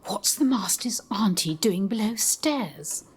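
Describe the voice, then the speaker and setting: An actress playing a polite, eager and inquisitive new governess in an audio play. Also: female voice, mid-range voice, lively intonation, slow pace, husky, full character voice. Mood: polite, curious. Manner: prim, deferential, inquisitive.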